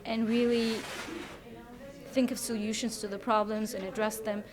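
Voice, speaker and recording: A young woman from Egypt, a youth delegate speaking articulately and earnestly, pausing often on "uh". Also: a little high, little pitch movement, over music. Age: young adult. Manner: articulate, earnest, with many "uh" pauses. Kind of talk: short prepared statement to camera.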